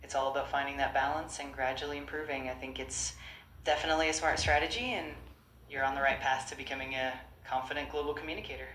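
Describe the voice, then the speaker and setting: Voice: medium pitch, steady tone, quick pace, fairly bright tone, echoey room, a little hiss or hum, poor audio. An AI conversation partner giving upbeat, reassuring, polished replies in encouraging chatbot style.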